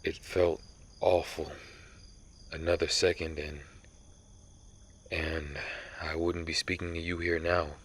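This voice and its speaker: Casting: a man to play a centuries-old vampire slayer of his own kind. Bottomless low register, flat delivery, solemn dramatic storytelling for ASMR audio drama. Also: husky, full character voice. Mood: haunted, bitter. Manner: grave, measured, dramatic storytelling. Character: brooding centuries-old male vampire who hunts vampires. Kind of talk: ASMR roleplay monologue.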